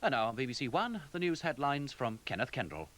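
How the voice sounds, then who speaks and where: A man's voice: mid-range voice, very animated, a little fast, a bit bright. A man with a Received Pronunciation British accent delivers polished, scripted television continuity announcements in a formal manner.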